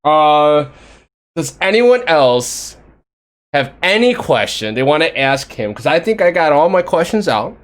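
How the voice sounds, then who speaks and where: A man's voice: on the high side, big pitch swings, a little slow. A man hosting a casual live-stream interview, laughing often and talking to his chat. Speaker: interview host.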